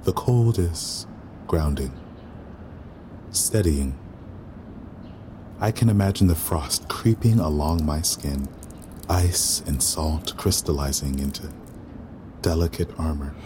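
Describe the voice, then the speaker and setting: A man's voice: rumbling low, slow pace, long pauses, noisy background. A man playing a weary, resentful waiter who narrates an audio drama in a dry, sardonic inner monologue. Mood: brooding, calming. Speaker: lead actor and narrator.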